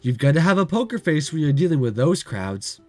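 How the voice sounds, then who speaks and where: A man's voice: on the high side, sing-song, brisk, mellow, soft background music. A man reading a chirpy young café girl. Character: cheerful tough young woman café worker. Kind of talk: reading a visual novel aloud.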